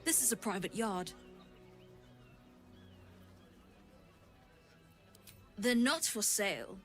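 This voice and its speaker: An actress playing a stern, no-nonsense keeper of courier dogs in a video game, speaking briskly and firmly. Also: female voice, medium pitch, expressive, faint music behind, close to an everyday voice. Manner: brisk, firm. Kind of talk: video game character acting.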